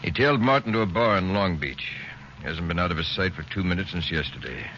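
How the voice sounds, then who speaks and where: Brief: a brisk lieutenant giving orders, slightly low, over a hissy vintage broadcast. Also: male voice, animated, fairly bright tone. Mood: commanding. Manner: brisk, commanding. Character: brisk male police lieutenant in charge. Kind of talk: 1940s radio drama acting. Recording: muffled old radio broadcast with hiss.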